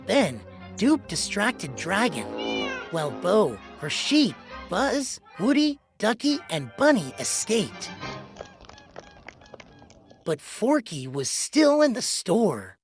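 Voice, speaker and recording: Expressive woman reading a storybook over music. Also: medium pitch, slow, long pauses. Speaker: storybook narrator. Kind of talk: scripted children's read-along narration. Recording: music behind the voice.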